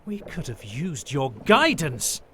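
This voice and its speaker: A man playing a frightened, anxious sailor in an audio drama, halting and urgent. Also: fairly high pitch, wildly swooping pitch, pushed and bright, slight background noise, exaggerated voice. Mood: accusing. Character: frightened male sailor, pleading, anxious survivor.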